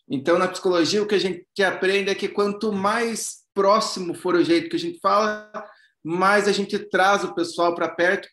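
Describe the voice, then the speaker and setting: A man's voice: fairly high pitch, echoey room. A man, a psychologist, gives an informal online lecture, chatty and full of fillers and restarts. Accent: non-Bahian Brazilian Portuguese.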